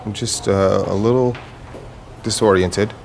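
An actor playing a newly blind man in an audio drama, halting and understated, stubborn yet wistful. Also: lowish voice, fairly even intonation, vocal fry, darkish tone, slight background noise. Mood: hesitant. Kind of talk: naturalistic audio fiction acting.

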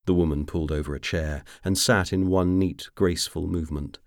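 Low-voiced male audiobook narrator, close and dry. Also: steady tone, a little fast, no room sound. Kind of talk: scripted fiction narration.

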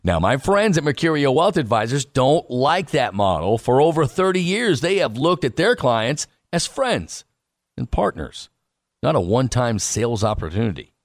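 A man reading a scripted radio advertisement with a smooth, persuasive delivery. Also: medium pitch, sing-song, moderate pace, no echo, studio quality. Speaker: ad announcer.

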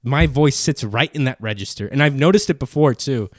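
A young man with a mid-register voice that sits in the middle of the range and blends into background noise. He talks in a relaxed, rambling way, swears freely and often trails off and restarts. The sound is close and dry. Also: mid-range voice, a little fast, no room sound. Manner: laid-back, swears casually, trails off and restarts. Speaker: podcast co-host. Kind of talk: casual chat.

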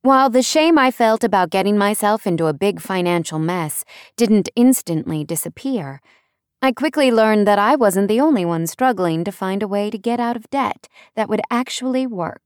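A woman's voice: mid-range voice, lively intonation, moderate pace, no echo, clean studio sound. A woman narrating a first-person nonfiction audiobook in a conversational way, leaning on key words for emphasis.

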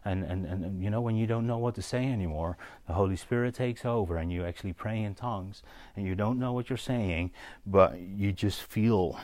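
Low-voiced man preaching informally, close and dry. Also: a little mellow, dry recording. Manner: halting, conversational, checks in with listeners with "yeah?". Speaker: preacher teaching on spiritual gifts. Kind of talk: informal sermon with storytelling.

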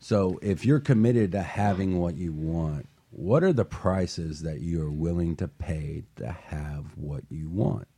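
Deep husky-voiced male talk show host. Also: slow, hardly any pauses, dark tone. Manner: earnest, rising to passionate emphasis. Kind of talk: motivational talk show chat.

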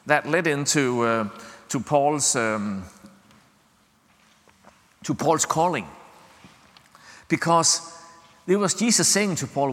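A man's voice: fairly high pitch, a bit bright, long reverb tail. A man from Denmark with a Danish accent, preaching as a visiting speaker in a conversational way, with lots of fillers and restarts and a frequent "yeah?" to the congregation. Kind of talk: sermon. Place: church.

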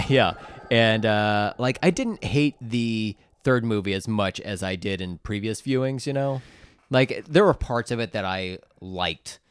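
A man's voice: lowish voice, a little slow, clear, resonant tone. An American man co-hosting a podcast in casual chat, joking and swearing freely. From Tulsa, United States. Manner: joking, profane. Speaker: podcast co-host.

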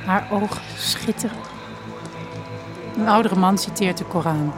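An actress playing a grieving daughter who narrates an audio drama in a measured, intimate, solemn way. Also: female voice, medium pitch, music in the background, lightly acted. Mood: troubled, intimate. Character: grieving daughter narrating her dying mother's last days. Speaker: narrator actor. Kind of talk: literary first-person audio drama narration.

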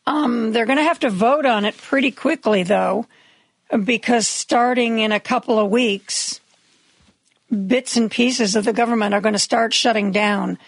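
A woman hosting a radio talk show, raspy from medication, giving chatty, wry news commentary with opinionated asides. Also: fairly high pitch, unhurried, no echo, low-quality recording. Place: radio studio.

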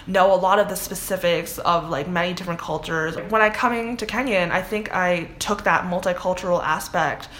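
A woman's voice: on the low side, normal speed, few pauses, a bit bright, slight background noise. A young woman from Canada, a guest in a casual interview, who talks in a chatty way with many fillers. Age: young adult.

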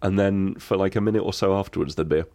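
A man's voice: low voice, quick pace, warm tone, no echo. A man in his late thirties co-hosting a casual podcast, bunged up from a cold, playful and rambling. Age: late 30s. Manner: playful, rambling, drifts into silly bits. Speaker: podcast co-host. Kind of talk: casual chat.

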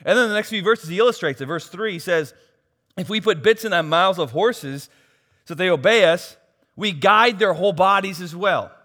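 A pastor preaching a sermon, losing his voice and swinging from soft asides to loud, emphatic points. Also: high voice, moderate pace. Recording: long hall reverb.

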